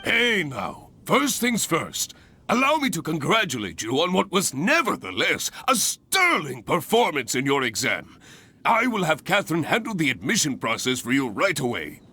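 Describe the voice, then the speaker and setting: A man's voice: a little high, wildly swooping pitch, moderate pace, bright, forceful tone, breathy edge, heavily acted. A man voicing a pompous, kindly adventurer examiner in a video game, theatrical and fussy, with wordy formal phrasing. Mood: congratulatory. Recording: background music behind voice.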